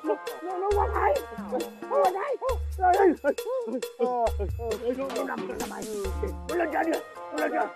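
A man's voice: very high voice, moderate pace, long pauses, over loud music. A man playing a boastful, hot-tempered bandit boss who turns into a stammering coward. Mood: terrified. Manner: blustering, then panicky stammering shrieks. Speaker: comedy sketch actor. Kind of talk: comic sketch acting.

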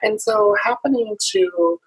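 A young woman from South Carolina, raised in Illinois, speaking as an interview guest over a video call. She talks earnestly and openly, often pausing with ums. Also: medium pitch, breathy edge, rough recording. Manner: earnest and open, with frequent ums. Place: small home room.

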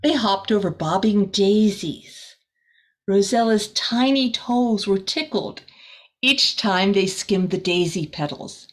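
Slow-paced woman reading a storybook aloud. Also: mid-range voice. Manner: expressive, deliberate. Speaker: volunteer teacher reading to children. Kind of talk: storybook read-aloud with asides. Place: small room indoors.

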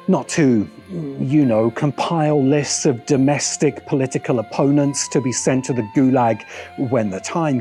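A middle-aged man narrates a video essay with a deliberate, wry delivery. His pitch sits in the middle, and light music plays behind him. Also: darkish tone. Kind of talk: scripted video essay commentary. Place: open-sided outdoor pavilion by a garden. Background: background music.

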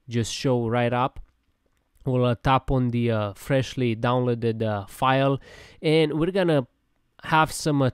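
A man's voice: medium pitch, darkish tone, smooth, dry recording. A man narrating a casual app tutorial, hesitating with many fillers, recorded close to the mic. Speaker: tech tutorial host.

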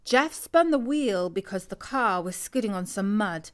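A woman narrates a scripted story for children. Her voice sits at a medium pitch. She reads expressively, as a bedtime storyteller who acts out what the characters say. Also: lively intonation, a little slow.

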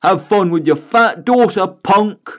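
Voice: mid-range voice, animated, unhurried, breathy edge, voice pushed up. A storyteller voicing a blunt, menacing entitled father, on a slightly dull recording. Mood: threatening. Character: gruff entitled father, threatening.

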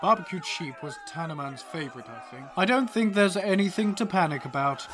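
A man's voice: a little high, animated, fairly quick, fairly bright tone. A man playing a dry, unflappable dwarf farmer in a fantasy audio drama, matter-of-fact in manner. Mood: calm.

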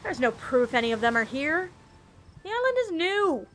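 A woman playing a cautious, level-headed captain of explorers in an audio drama, speaking in a measured, commanding way. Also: high-pitched, big pitch swings, fairly bright tone, slight background noise. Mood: calm, cautious.

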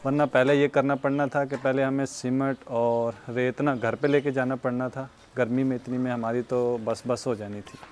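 A cheerful young man from Pakistan talks through his day in a relaxed vlog style, often breaking into light laughter. He speaks at a medium pitch into a clip-on mic outdoors. A faint hum of open-air ambience sits behind him. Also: moderate pace, few pauses. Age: young adult. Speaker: vlogger. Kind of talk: casual vlog narration. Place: outdoors, farmland and building site. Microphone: lapel mic.

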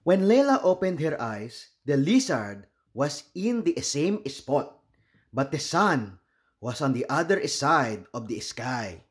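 A man from the Philippines reading a children's picture book aloud in an expressive, deliberate storytelling manner. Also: fairly high pitch.

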